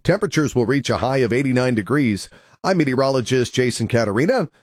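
A man's voice: mid-range voice, fast, husky, dry recording. Male meteorologist, dry studio read. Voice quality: even, informative. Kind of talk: weather forecast read.

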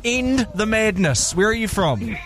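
Teasing high-voiced male co-host. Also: very animated, measured pace, fairly bright tone, soft background music. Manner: teasing, jokey. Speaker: radio co-host. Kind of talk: breakfast radio banter. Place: radio studio.

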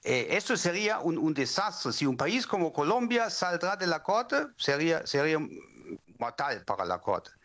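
Man, German accent, non-native speaker, slightly high pitch, academic interviewee, explanatory, halting with repeated words. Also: big pitch swings, a bit bright. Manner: halting, repeats words while searching for phrasing. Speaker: legal academic, interview guest.

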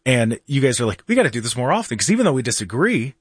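A man's voice: mid-range voice, sing-song, rapid-fire, breathy edge, no room sound. A man hosting a talk radio show in a studio, chatty, laughing often and restarting his sentences. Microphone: studio microphone.

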